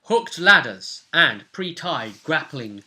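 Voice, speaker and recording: A man's voice: a little high, very animated, takes it slowly, bright, forceful tone. A man in his twenties casually recapping a tabletop role-play session as game master, telling it with dramatic flair in a room at home. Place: small furnished room at home, indoors.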